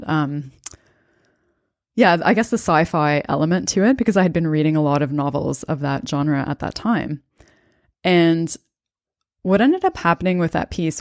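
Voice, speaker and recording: Woman in her 30s, low voice, calm reflective podcast talk, dry close sound. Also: no room sound. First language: bilingual English and Estonian. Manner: calm, thoughtful, conversational with occasional fillers. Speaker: podcast host and coach. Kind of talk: reflective personal storytelling.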